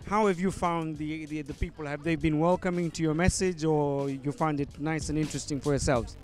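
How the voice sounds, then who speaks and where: A man interviewing people at an event, halting with frequent fillers and restarts. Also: on the high side, steady tone, faint music behind. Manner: hesitant, many ums and restarts.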